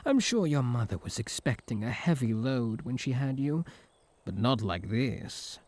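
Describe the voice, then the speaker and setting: British man as teasing yandere incubus: unhurried, sly, sarcastic, swooping delivery, close dry sound. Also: medium pitch, animated, a little mellow, no room sound. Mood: smug, taunting.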